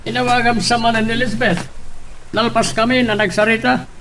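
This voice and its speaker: Actor as moneyed businessman in an Ilocano romance serial, high-pitched with gravelly creak. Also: flat delivery, moderate pace, pushed and bright, slight background noise, exaggerated voice, rough recording. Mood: businesslike. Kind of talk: Ilocano radio drama acting.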